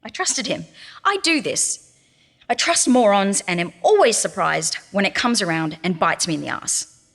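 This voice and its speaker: A woman's voice: mid-range voice, big pitch swings, normal speed, vocal fry, fairly bright tone, full character voice. Scared bitter trapped girl, sarcastic, talking to herself, super echoey, audio drama. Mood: despairing. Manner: emotional, sarcastic, muttering to herself. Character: frightened, bitter captive young woman, self-blaming. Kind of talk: audio diary monologue in fiction podcast drama. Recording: long reverberant hall echo.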